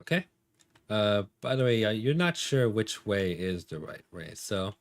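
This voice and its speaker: A man's voice: lowish voice, very animated, a little slow, dry recording. A man acting as game master, narrating a tabletop role-playing game casually in informal, improvised phrasing.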